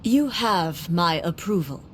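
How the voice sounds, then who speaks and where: A woman playing a stern, dutiful puppet guardian in a video game, speaking in a slow, formal, detached manner. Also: fairly low pitch, lively intonation, a little hiss or hum. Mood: grave, accepting. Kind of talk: dramatic video game voice acting. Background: music.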